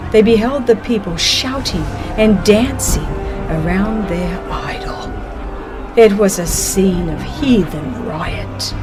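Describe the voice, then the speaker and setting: A woman reads a dramatized religious history as a narrator, in a solemn, deliberate storytelling manner. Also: medium pitch. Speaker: audiobook narrator. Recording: music behind the narration. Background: music.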